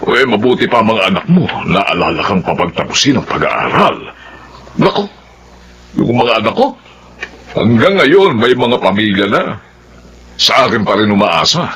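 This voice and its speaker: Man doing loud teasing middle-aged pal in a drama, super bright voice, noisy background. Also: lowish voice, very animated, moderate pace, pauses often. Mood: wistful. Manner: boisterous, teasing. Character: teasing, envious, jovial middle-aged male friend. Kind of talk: Filipino drama acting.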